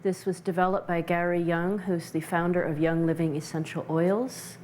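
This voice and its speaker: A woman giving explanatory interview answers as a practitioner, measured and halting as she searches for words. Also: lowish voice, steady tone, a little mellow, slight background noise. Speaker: interview guest, essential oils practitioner.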